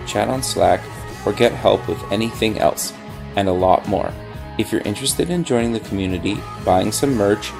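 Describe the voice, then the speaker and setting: A man's voice: on the low side, gravelly. A man hosting a podcast interview, reading show announcements and welcoming guests in an upbeat, encouraging way. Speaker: host. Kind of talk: podcast interview with sponsor reads.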